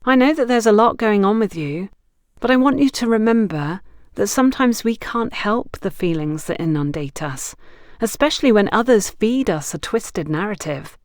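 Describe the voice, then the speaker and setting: A woman as a composed, reassuring sister, very expressive. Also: mid-range voice, normal speed, dry recording, studio quality, pitched above the performer's own voice, natural voice. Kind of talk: audiobook character dialogue.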